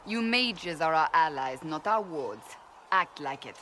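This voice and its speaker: Curt, forceful, exasperated officer. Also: female voice, deep voice, lively intonation, unhurried, slight background noise, full character voice. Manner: curt, stern. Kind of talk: video game dialogue.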